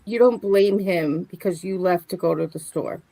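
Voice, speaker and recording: A woman's voice: fairly low pitch, moderate pace, creaky, warm tone, rough recording. A middle-aged woman co-hosting a livestream in casual conversation. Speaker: livestream co-host. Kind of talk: casual chat.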